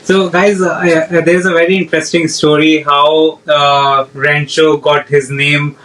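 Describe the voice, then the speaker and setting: Man hosting a podcast, flat tone. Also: fairly high pitch, a little slow, recorded from a distance, poor audio. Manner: hesitant, many fillers. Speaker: podcast host. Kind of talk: casual podcast interview.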